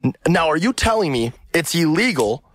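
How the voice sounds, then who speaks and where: A man's voice: fairly high pitch, sing-song, normal speed, fairly bright tone, dry recording. Blunt, insistent male podcast host, dry close mic. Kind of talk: heated debate.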